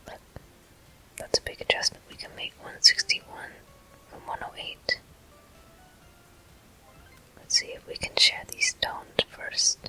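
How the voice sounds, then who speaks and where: A person whispering a video game walkthrough in ASMR style, with soft, breathy, slow and deliberate delivery in short phrases. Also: faint music behind, poor audio. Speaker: gameplay host.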